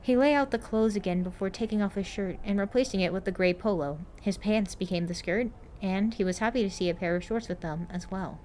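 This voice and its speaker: A woman's voice: medium pitch, a little fast, few pauses, a little mellow, slight background noise. A woman reading a story aloud as scripted narration, like an amateur audiobook. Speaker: narrator of a fan fiction podfic.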